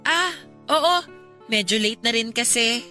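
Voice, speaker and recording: A Filipino woman playing a weary, gentle young former girlfriend in a radio romance drama, speaking in a hesitant, conversational way. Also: on the high side, animated, measured pace, faint music behind. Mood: awkward, friendly.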